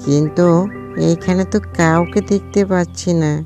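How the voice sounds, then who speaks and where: A woman's voice: on the low side, steady tone, moderate pace, lightly acted. Cartoon fox, sad and gullible, plaintive complainer, woman performer, light music underneath. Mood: subdued, uncertain. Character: sad, poor, gullible fox with a grumbling streak. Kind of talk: cartoon animal dialogue.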